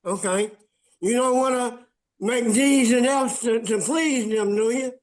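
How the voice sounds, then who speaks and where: Very high-voiced man, unhurried, advising. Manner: encouraging, instructive, repeats for emphasis. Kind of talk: advice to children in conversation.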